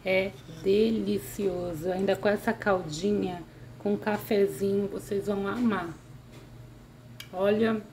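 Woman talking thru a cake recipe at home, mid pitch, faint kitchen hum behind. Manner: friendly, uses many diminutives. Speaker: cooking channel host. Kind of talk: step-by-step recipe narration.

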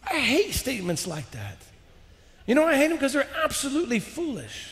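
A man in his forties preaching a sermon in a church on a headset mic, impassioned, rising to shouts and dropping low and quiet. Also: wildly swooping pitch, fairly quick, creaky. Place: large church sanctuary, indoors. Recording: long hall reverb.

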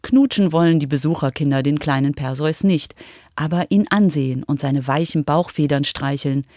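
A woman narrates a children's radio feature from a script. Her voice sits a little low and is recorded very dry, with no room around it. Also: normal speed, warm tone, dry recording. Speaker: reporter narrator.

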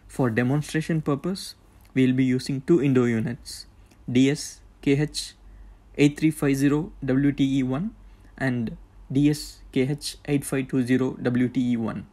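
A man presenting a tech tutorial, explaining the setup step by step in a plain, halting way with fillers. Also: medium pitch, fairly even intonation, warm tone. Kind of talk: casual step-by-step demonstration.

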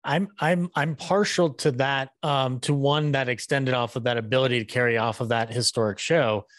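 A man in his thirties talks casually into a podcast mic. His pitch is average and the sound is dry, and he tends to halt and restart phrases. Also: steady tone, normal speed, no room sound. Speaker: podcaster, interviewer. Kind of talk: casual chat. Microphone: podcast mic on a boom arm with headphones.

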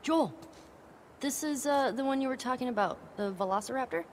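A woman's voice: high voice, brisk, a little hiss or hum, lightly acted. An actress playing a curious, teasing teenage girl in a naturalistic video game style. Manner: casual, teasing. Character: curious, playful, sassy teenage girl exploring a ruined museum.

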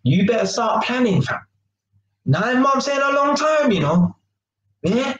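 A man's voice: very high voice, a little slow, lo-fi sound. A man, talking casually and with a lot of slang to a webcam in a small kitchen, often checking in with "yeah?". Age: 20s. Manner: animated, slangy, keeps asking "yeah?" and gesturing. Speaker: vlogger. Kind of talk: casual monologue to camera. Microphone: webcam at arm's length. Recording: echoey kitchen, faint noise.

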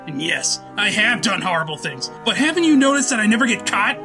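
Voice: medium pitch, very animated, fairly quick, music in the background. A performer voicing a theatrical, self-important, deranged young man who rants manically in a comic dub. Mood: brooding, sardonic. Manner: theatrical ranting, bursts into shouts. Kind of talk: dramatic comic dub voice acting.

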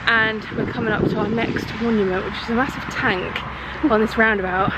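A woman's voice: on the high side, steady tone, fairly quick. A British woman in her thirties chatting casually to a handheld camera outdoors as a travel vlogger. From United Kingdom. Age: 30s. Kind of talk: casual vlog chat to camera. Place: outdoors on seafront paths and roadsides. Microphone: handheld camera at arm's length. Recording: loud outdoor wind and traffic noise.

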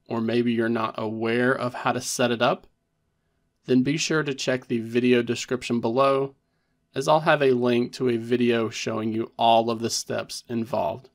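Guy doing a step by step tech tutorial, mid pitched voice, explains stuff methodically. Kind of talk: step-by-step instructional narration.

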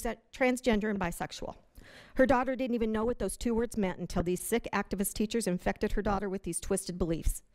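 A woman's voice: a little high, darkish tone, low-quality recording. A woman giving public comment in a large hotel meeting room, indignantly reading news stories aloud from a prepared text at a podium microphone.

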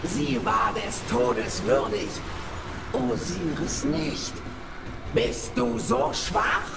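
Slow, gravelly, echoing war goddess. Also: female voice, medium pitch, sing-song, far from the mic, exaggerated voice. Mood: menacing, taunting. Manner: slow, drawn-out, mocking. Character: menacing, taunting alien war goddess. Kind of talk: theatrical video game acting. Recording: echoing voice under game music.

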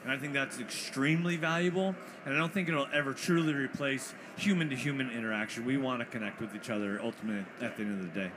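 A man's voice: mid-range voice, quick pace, hardly any pauses. Man, chatty, upbeat, conference panel, noisy stream. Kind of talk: casual panel chat.

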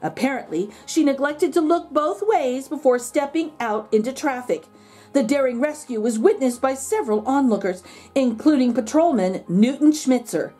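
A high-voiced woman reads a picture book aloud for children. Her delivery is expressive storybook narration, with faint music underneath. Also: normal speed, slightly rough. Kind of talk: expressive storybook reading with asides to young listeners.

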